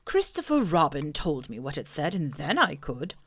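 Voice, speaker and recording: A woman voicing a gentle, simple male bear who speaks mildly and earnestly. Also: deep voice, very animated, slightly rough, full character voice. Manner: earnest, mild and slow.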